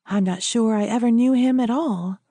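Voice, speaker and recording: A woman's voice: fairly high pitch, moderate pace, dark tone, dry recording, natural voice. A woman voicing a guarded, troubled young widow in an audiobook, speaking with hesitant, restrained frankness. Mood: troubled, frank.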